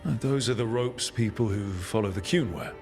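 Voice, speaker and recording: A man's voice: on the low side, normal speed, darkish tone, soft background music, natural voice. A man voicing a friendly, inquisitive party leader in a video game, with a casual, supportive manner.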